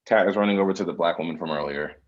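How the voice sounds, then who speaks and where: A man with a gravelly, husky voice reading scripted stage directions, on slightly dull audio. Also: low-pitched, very fast. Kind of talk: scripted narration.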